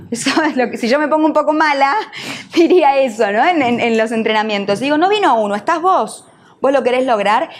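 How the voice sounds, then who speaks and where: Una mujer con acento argentino, entrenadora que da un taller en vivo y le hace preguntas al público. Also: female voice, high-pitched, slight background noise. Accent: Argentine Spanish. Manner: questions the audience, uses voseo, teacherly. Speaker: NLP trainer and coach. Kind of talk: live workshop talk.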